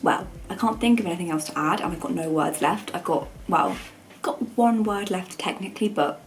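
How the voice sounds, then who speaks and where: A young woman with a croaky voice, chatting informally and rambling in a self-deprecating way as a student vlogger. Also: on the low side, a little fast, slight background noise, low-quality recording. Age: young adult. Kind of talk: casual chat to camera.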